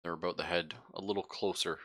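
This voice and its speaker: A man's voice: deep voice, fairly even intonation, fast, a bit bright. A man casually chatting and narrating as game master of a live-streamed tabletop roleplaying game.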